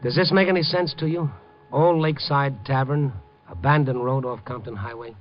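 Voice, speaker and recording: A man plays a hard-boiled American reporter in an old radio crime drama. He is wry, wary and wisecracking, with a medium-pitched voice. The sound is dull and dated. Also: moderate pace, faint music behind, close to an everyday voice. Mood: thoughtful. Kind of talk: 1950s radio crime drama acting and first-person narration. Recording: muffled old radio recording.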